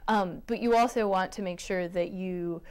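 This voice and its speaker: Woman with a lowish voice, explaining thoughtfully. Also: fairly even intonation, moderate pace, darkish tone, clean tone. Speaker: artistic director of a puppet theater, interview guest. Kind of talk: conversational interview answers.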